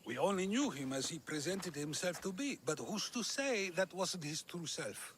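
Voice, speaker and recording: Video game Greek philosopher: high, lively intonation, eloquent, wry and unflappable. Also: male voice, normal speed, a little hiss or hum. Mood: solemn, consoling. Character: witty, philosophical ancient Greek sage, warm and unflappable. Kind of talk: dramatic video game cutscene acting.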